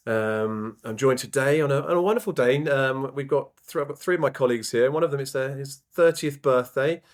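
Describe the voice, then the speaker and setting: A man opens a podcast and introduces his guests in a friendly, informal way. His speech is halting, full of ums and restarts, at an ordinary middle pitch. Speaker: podcast host.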